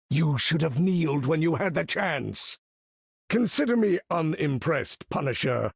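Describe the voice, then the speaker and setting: Game role: imperious older mutant leader, slow, gravelly, grandiose and formal. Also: male voice, a little high, no room sound, studio quality, full character voice. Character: proud, imperious older male mutant master of magnetism. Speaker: video game voice actor. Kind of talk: theatrical game character voice lines.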